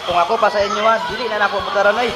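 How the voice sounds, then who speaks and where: A man's voice: sits high, steady tone, racing, lightly acted. A man acting a pushy political henchman in an amateur student radio drama, with a Filipino accent. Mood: pushy, dismissive. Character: pushy male political henchman smearing a rival. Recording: echoey, very noisy crowd behind.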